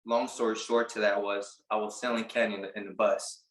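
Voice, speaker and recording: A man in his late twenties from Puerto Rico, a barber telling his story in a casual interview, relaxed and halting with many fillers. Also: fairly low pitch, echoey room. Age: late 20s. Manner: relaxed, halting, lots of "like" and restarts. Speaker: barber and business owner, guest.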